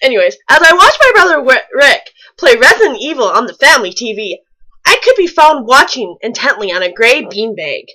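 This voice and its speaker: A woman's voice: sits high, sing-song, fairly quick, pushed and bright, rough recording. A woman co-hosting a comedic fan fiction reading, reading aloud and breaking off for casual, mock-exasperated commentary.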